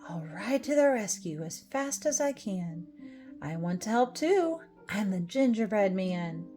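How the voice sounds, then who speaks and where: A woman's voice: medium pitch, animated, a little slow, warm tone, soft background music, close to an everyday voice. A woman voicing a small, cheeky, plucky gingerbread man in bouncy rhymes for a storybook. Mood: eager, plucky. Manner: bouncy, rhyming boasts and exclamations.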